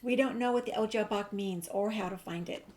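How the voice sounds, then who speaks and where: Woman, medium pitch, casual gaming commentary, easygoing, reads on-screen text aloud. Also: fairly quick, darkish tone. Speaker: gaming video creator. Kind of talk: casual game playthrough commentary, reading dialogue choices aloud.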